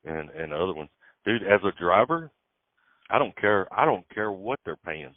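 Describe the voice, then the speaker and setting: A low-voiced man telling stories in a laid-back, plain-spoken way on a phone call. The sound is dry and narrow, like a phone line. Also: very animated, moderate pace, no echo. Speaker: truck driver. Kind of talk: casual phone chat.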